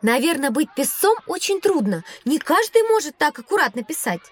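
An eager little kid, high swingy voice, full of wonder, audio drama. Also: female voice, normal speed, dry recording. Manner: wondering, animated. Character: eager, inquisitive young child pupil on history journey. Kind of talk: educational audio drama acting.

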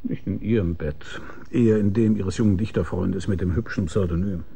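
An actor playing a world-weary private detective with a dry, laconic manner and gravelly voice, narrating and acting in a German radio drama. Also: male voice, low-pitched, normal speed, full character voice. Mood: dry, probing. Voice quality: gravelly, husky, dark. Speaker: first-person narrator and lead.

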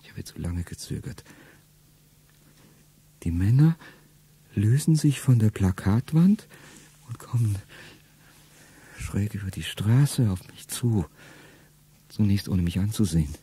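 A middle-aged man speaking a first-person radio play monologue in a low, intimate way that often sinks to a whisper. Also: lively intonation, slow, pauses often, dark tone, husky.

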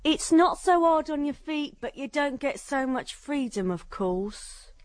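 A simple young housemaid with a very high, swooping voice. She is easily flustered and quick to defend herself, in a radio drama style with a dry, close studio sound. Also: expressive, no room sound. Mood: chatty.

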